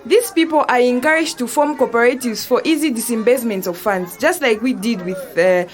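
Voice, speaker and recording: A woman with a Zambian accent playing a bright, respectful young woman who patiently explains things in an educational audio drama. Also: on the high side, moderate pace, soft background music, lightly acted. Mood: earnest, explanatory.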